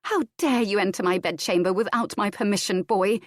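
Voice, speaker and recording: Lady reading as a fierce young noble lady, cold sharp orders, dry close sound. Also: medium pitch, fairly quick, no echo, pitched above the performer's own voice, close to an everyday voice. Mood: indignant.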